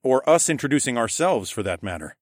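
Man playing an animated, sardonic fantasy hero. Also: medium pitch, brisk, dry recording, studio quality, pitched above the performer's own voice. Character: sardonic, protective young male fantasy hero.

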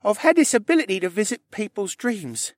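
A man voicing an anxious, tearful young stable boy in an audiobook, with a rough, halting, stammering and pleading delivery. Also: sits high, very animated, no echo, studio quality, pitched above the performer's own voice. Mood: hesitant, confiding. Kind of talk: audiobook character acting.

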